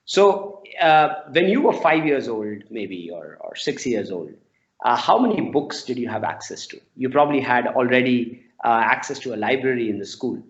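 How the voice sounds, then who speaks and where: A man's voice: mid-range voice, very animated, moderate pace. A man in his forties with an Indian accent answers interview questions with anecdotes, often repeating words as he explains. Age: 40s. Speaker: interviewee, storytelling non-profit founder. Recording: dull lo-fi audio.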